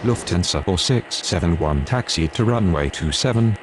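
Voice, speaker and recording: A man's voice: low voice, animated, moderate pace. A man reading clipped air traffic control radio calls while playing a flight control game. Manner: clipped, formulaic radio calls with call signs. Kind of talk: reading aviation radio phraseology.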